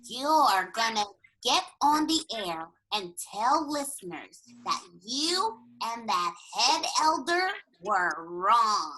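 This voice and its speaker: Woman doing a high, sharp little bird. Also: sing-song, slow pace, heavily acted, rough recording. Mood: bossy. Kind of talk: comedy radio table read.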